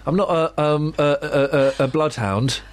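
A man's voice: fairly high pitch, a little slow. A man hosting a radio breakfast show, congested from a cold, talking in a rambling, jokey way in a studio. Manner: blocked nose, rambling and jokey. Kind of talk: casual chat.

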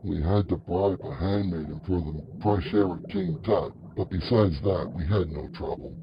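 A man's voice: low-pitched, moderate pace. A man playing a sorceress's obedient, doubtful henchman in a fantasy audio drama. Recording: dull, noisy, with ambience behind.

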